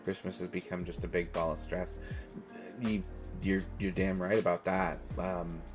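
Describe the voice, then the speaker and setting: An American man playing an awkward, kindly stranger in an intimate, casual audio roleplay, talking in a halting way with trailing pauses. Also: deep voice, flat delivery, music in the background, lightly acted. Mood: reflective, gentle. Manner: hesitant, halting, with trailing pauses and self-corrections. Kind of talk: intimate one-sided audio roleplay, casual conversational acting.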